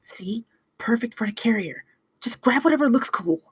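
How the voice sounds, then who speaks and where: A woman acting a clever, blunt young tech whiz in a table read, with a husky voice. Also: on the high side, expressive, moderate pace, no echo, exaggerated voice. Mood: understated, curious.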